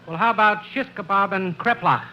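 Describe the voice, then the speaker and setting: A man's voice: high-pitched, fairly quick, dull audio, lightly acted. A man playing a naive, silly young sidekick in a 1950s radio comedy, with a guileless delivery. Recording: faintly noisy vintage radio recording.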